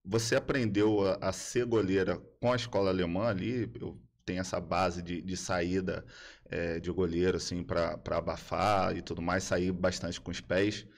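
A man in his thirties hosting a podcast in casual, slangy talk, halting and restarting his sentences, on a boom-arm studio mic. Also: level tone. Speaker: podcast host. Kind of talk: casual chat.

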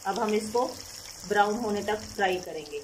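A woman's voice: medium pitch, lively intonation, normal speed, noisy background. Patient homely woman teaching a recipe. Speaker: cooking channel host. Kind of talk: step-by-step cooking tutorial. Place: home kitchen.

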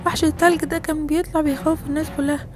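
A woman's voice: sits high, flat delivery, racing, over music, close to an everyday voice. A woman voicing a worried, gossipy townsfolk parent with an Egyptian accent in a children's story. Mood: worried, gossiping. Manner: anxious, gossipy. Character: alarmed, gossiping townsfolk parent spreading monster rumours.

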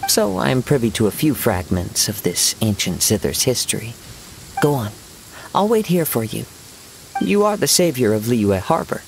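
Calm young samurai poet from a game, creaky voice, formal poetic wording, bit of rain behind. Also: male voice, mid-range voice, rough recording. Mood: composed, assured. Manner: measured, poetic, formal phrasing. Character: gentle, serene young male wandering poet swordsman. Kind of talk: scripted game dialogue, storytelling. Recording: steady rain noise behind voice.

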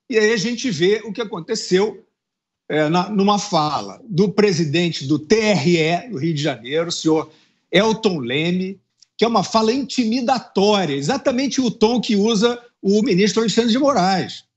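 A middle-aged man with a rather high voice delivers heated political commentary from home over a video call. He sounds insistent and indignant, stumbling through restarts and tag questions as he presses his points. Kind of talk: opinion commentary on a news show. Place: indoor home room. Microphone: earphones with mic on a video call.

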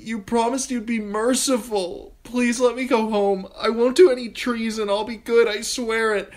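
A man voicing a terrified teenage girl captive who pleads and sobs in a high, strained voice. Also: fairly even intonation, normal speed. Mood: desperate pleading.

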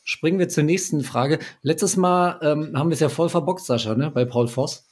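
A man in his thirties hosts a podcast from home over a video call. His voice is a bit husky, and he teases his guest and drives the questions. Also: a little high, moderate pace. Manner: lively, joking, leads the questions. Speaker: podcast host. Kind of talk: casual chat.

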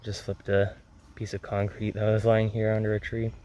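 A man giving casual, low-key narration as a wildlife vlogger filming outdoors from behind the camera. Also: monotone, moderate pace, dark tone. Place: outdoors in woods and grassland. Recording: outdoor ambient noise.

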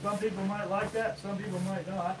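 A man's voice: high-pitched, flat delivery, brisk. A man in his fifties chatting casually and unhurriedly to viewers as he works in an open outdoor sawmill shed, explaining as he goes. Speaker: host, sawmill woodworker. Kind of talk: casual live vlog while working. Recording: outdoor workshop noise, varying distance from mic.

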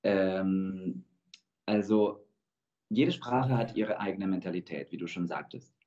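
A man in his thirties, born in Georgia with Russian as his first language, speaking as a guest singer in a thoughtful, measured way over a video call. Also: low voice, dark tone. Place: indoor room with plain wall. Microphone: video call webcam. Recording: dull lo-fi video call audio.

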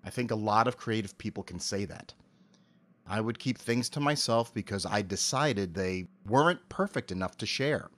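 A man's voice: lowish voice, moderate pace, fairly bright tone. Articulate man giving interview answers. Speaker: interview guest, amateur voice actor and animator.

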